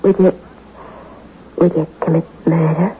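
Coaxing gangster's moll. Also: female voice, sits low, flat delivery, a little slow. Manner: sweet-talking, coaxing. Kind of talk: 1960s radio crime drama acting. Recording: dull, slightly noisy old radio recording.

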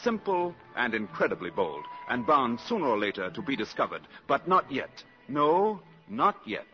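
Animated male radio drama narrator. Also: mid-range voice, moderate pace. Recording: dull old radio recording. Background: music.

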